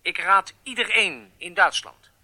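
A captured German spy being interviewed in a radio play. The man's voice is fairly high and very sharp, and his statements come out deliberate and declarative. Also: animated, slight background noise, full character voice. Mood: indignant. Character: captured German agent giving a contrite interview. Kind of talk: radio drama acting.

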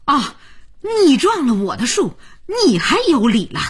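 A man putting on a shrill feisty old granny. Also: wildly swooping pitch, moderate pace, husky, heavily acted. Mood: indignant. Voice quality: shrill high falsetto. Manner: indignant, quarrelsome, won't back down. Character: feisty stubborn old grandmother. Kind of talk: audiobook novel reading.

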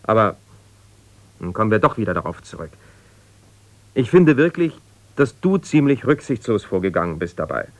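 An actor playing an aggrieved, self-justifying middle-aged husband in a naturalistic radio drama, halting and reproachful as he argues. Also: on the low side, very animated, no echo. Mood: evasive, irritated. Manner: halting, argumentative, reproachful, breaking off mid-sentence. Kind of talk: naturalistic 1970s German radio drama, one-sided conversation.

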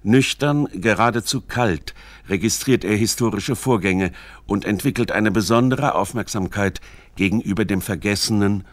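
A man's voice: lowish voice, normal speed, dry recording. A man reading scripted narration for a radio documentary. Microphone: close studio microphone.

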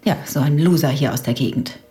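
An actress in a German radio drama playing a bereaved, bitter widow, speaking haltingly with breathy sighs. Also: female voice, very deep voice, expressive, mellow.

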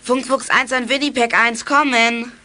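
A high-voiced plucky boy detective. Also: fairly even intonation, moderate pace, sharp tone. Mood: stern, triumphant. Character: plucky boy detective, leader of young CB radio gang. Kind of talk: German children's radio play acting.

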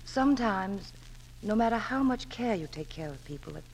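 An actress playing a gentle, caring mother in a 1940s radio drama, choosing her words softly and carefully. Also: mid-range voice, expressive, normal speed, close to an everyday voice. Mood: tender, grave. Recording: noisy old 1940s radio recording.

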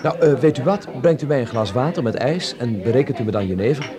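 An actor playing a naive, soft-hearted but stubborn young student in a Dutch radio play, earnest and questioning. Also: male voice, medium pitch, quick pace, crowd noise, close to an everyday voice. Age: young adult.

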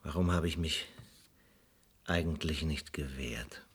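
An actor playing a brooding, guilt-haunted former police sergeant in a radio play, halting and husky. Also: male voice, very deep voice, heavily acted. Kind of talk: German radio play acting.

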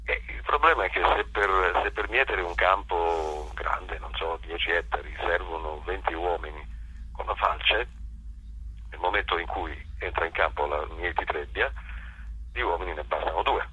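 A man with a very low voice gives an interview. The tone is bright and forceful but heavily husky. He explains things conversationally, circling back and repeating words as he looks for the next one. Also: poor audio. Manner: halting, searching for words with many repetitions. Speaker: guest, actor and playwright.

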